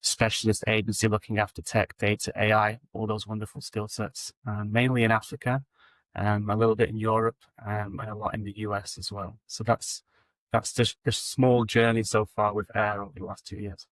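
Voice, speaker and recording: Male guest, low and flat delivery, casual and steady. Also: normal speed, no echo, poor audio. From England. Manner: relaxed, steady, frequent ums. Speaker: guest, recruitment founder. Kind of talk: casual interview answers.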